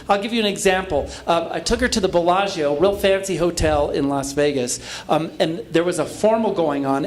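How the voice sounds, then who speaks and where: A middle-aged man doing a stand-up comedy set on a club stage, camp and breezy, with quick asides to the audience. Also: sits high. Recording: echoey club with laughing crowd. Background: audience laughter.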